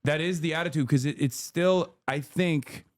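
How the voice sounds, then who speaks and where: A man's voice: fairly high pitch, moderate pace. A man hosting a live stream, chatting casually and conversationally with frequent fillers. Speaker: streamer host. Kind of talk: casual chat.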